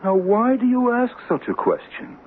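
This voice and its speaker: A swooping, boastful devil on tinny radio. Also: male voice, very high voice, huge pitch swings, moderate pace, exaggerated voice. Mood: sly, grand. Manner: theatrical, teasing, grandly self-important. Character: the devil as a sly, boastful deal-making hustler. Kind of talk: radio drama acting. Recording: tinny, hissy old radio recording.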